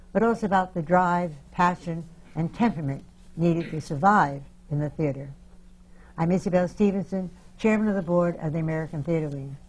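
A woman's voice: on the low side, a little mellow, rough recording. A woman chairing the board, giving a formal scripted introduction to a seminar.

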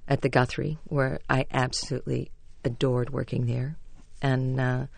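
A stage actress with a low voice, telling chatty, self-deprecating stories as a radio interview guest. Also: female voice, little pitch movement, normal speed, creaky, darkish tone, no room sound.

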